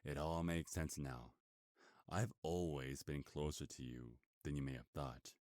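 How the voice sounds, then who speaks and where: Casting: male actor for smug werewolf captor, ASMR roleplay. Rock-bottom pitch, very dry sound, seductive, possessive, taunting delivery. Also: fairly even intonation, unhurried, darkish tone, no room sound. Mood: seductive gloating. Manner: taunting, possessive, smooth-talking.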